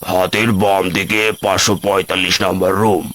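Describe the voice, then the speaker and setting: A man voicing a grim elderly caretaker in a cartoon ghost story, recounting things slowly and solemnly. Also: low-pitched, little pitch movement, a bit bright, a little hiss or hum. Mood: flat. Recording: music under the voice.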